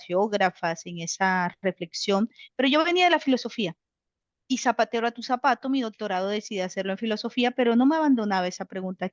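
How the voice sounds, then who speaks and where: A woman's voice: mid-range voice, dry recording. Thoughtful woman, muffled and dry. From Colombia. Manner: thoughtful, many fillers and restarts. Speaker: author. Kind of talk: reflective interview answer. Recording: dull, dry sound.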